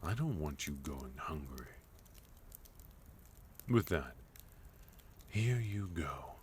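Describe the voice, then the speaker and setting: Man acting a very deep-voiced, courteous villain. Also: big pitch swings, slow pace, a little hiss or hum, heavily acted. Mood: gentle, doting. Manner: polite, formal, soothing. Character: sophisticated villain leader, courteous, doting host to captive. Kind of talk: ASMR fantasy roleplay acting.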